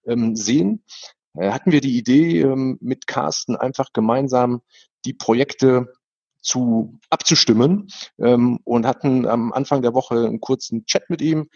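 A man hosting a podcast with an unscripted introduction, speaking in halting, hesitant phrases. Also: mid-range voice, a little mellow, no echo, highs cut off. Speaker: podcast host.

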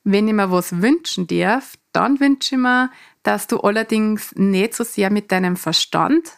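Austrian dialect-speaking woman podcaster. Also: medium pitch. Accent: Mostviertel Austrian dialect with a touch of Salzburg. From Mostviertel, Lower Austria. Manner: earnest, speaks in dialect rather than standard German. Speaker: podcast host and coach. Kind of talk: solo podcast monologue.

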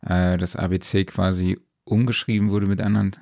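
A man's voice: low voice, level tone, brisk, creaky, darkish tone, no room sound, studio quality. A man hosting a casual interview, informal and appreciative, reacting in slangy everyday words. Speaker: podcast host, interviewer.

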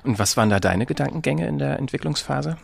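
A man's voice: medium pitch, lively intonation, fast talker, gravelly, slightly rough, no room sound. A man hosting a casual discussion, asking questions and adding his own thoughts.

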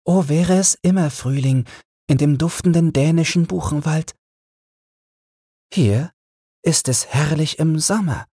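A man's voice: a little high, a little slow, long pauses, mellow, husky, no echo, clean studio sound, voice pushed up. A man voicing a sweet young fairy girl full of wonder in a storybook, with a lilting delivery. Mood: bright wonder.

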